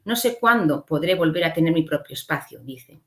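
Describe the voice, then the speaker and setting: A woman's voice: sits low, expressive, brisk, fairly bright tone. A woman from giving an explanatory slide lecture as a conference speaker, often adding short checking tags.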